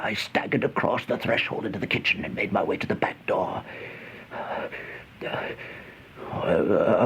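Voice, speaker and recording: An actor playing a terrified, feverish man in an old-time radio horror monologue, stammering, gasping and melodramatic, with a creaky voice. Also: lowish voice, heavily acted. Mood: feverish, paranoid. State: panting, out of breath. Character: terrified, feverish man trapped with monstrous flies, horror narrator. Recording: dull, noisy old radio recording.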